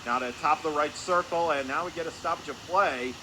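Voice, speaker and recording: A man doing radio play-by-play of a hockey game in clipped, rapid-fire phrases. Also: on the high side. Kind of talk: radio sports play-by-play commentary. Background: noisy indoor ice rink.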